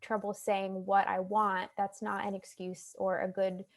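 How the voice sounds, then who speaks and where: A young woman with an American accent, a guest talking about her own experiences in a relaxed, chatty way with many fillers. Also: medium pitch, unhurried. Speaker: guest, stuttering advocate. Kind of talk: casual interview chat.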